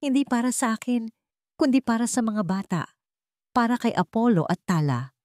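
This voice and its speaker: A woman's voice: fairly high pitch, animated, moderate pace, a little mellow, no echo, voice pushed up, lightly acted. Lady narrator as a desperate poor young mom begging, emotional, love story drama, dry recording. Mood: desperate pleading. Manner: pleading, emotional. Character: poor, desperate young mother, pleading and heartbroken.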